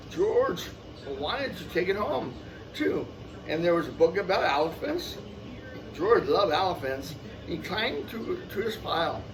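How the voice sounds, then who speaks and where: A man reading a children's picture book aloud expressively, adding casual asides and repeating phrases for young listeners. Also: fairly high pitch. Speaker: storyteller. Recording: noisy, picked up from a distance.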